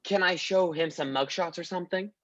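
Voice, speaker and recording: An actor playing an uptight, exasperated male police officer in a parody radio play, flustered and deadpan. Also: high-pitched, fairly quick, muffled recording.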